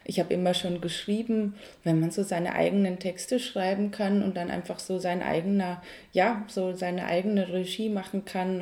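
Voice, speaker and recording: A Franconian woman in her thirties answers interview questions with a mellow, somewhat low voice. There is a gravelly fry in her tone, and she searches for words, with fillers and restarts. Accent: Franconian German. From Franconia, Germany. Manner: thoughtful, hesitant, many fillers and restarts. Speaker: cabaret artist, guest.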